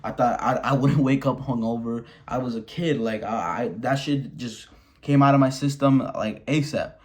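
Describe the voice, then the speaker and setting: A man's voice: medium pitch, moderate pace, darkish tone. A man in his early twenties from Wisconsin, talking bluntly and candidly to camera, swearing often and restarting his sentences. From Wisconsin, USA. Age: 20s. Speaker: vlogger. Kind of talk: candid personal monologue.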